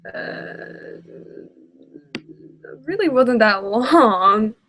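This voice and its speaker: A woman's voice: fairly high pitch, expressive, drawn-out words, fairly bright tone, slight background noise. A woman giving casual, sarcastic, exasperated reaction commentary.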